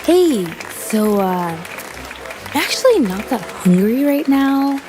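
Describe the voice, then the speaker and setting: A woman's voice: fairly high pitch, big pitch swings, slow, crowd noise, noisy background. A woman playing an earnest character in a western audio drama. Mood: sheepish. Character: earnest young runaway or rancher in post-apocalyptic western. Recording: music and background ambience behind voice.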